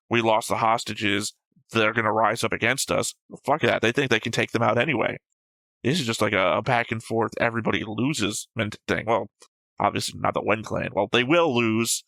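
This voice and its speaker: A man's voice: lowish voice, fairly even intonation, moderate pace, gravelly, pushed and bright, no room sound, rough recording. A man co-hosting an anime reaction show, talking through episode notes casually and bluntly, with some swearing.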